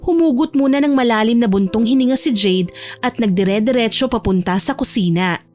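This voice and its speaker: High-pitched woman narrating over music. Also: brisk, a little mellow. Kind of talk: scripted storytelling narration of romance fiction. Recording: music bed behind the voice.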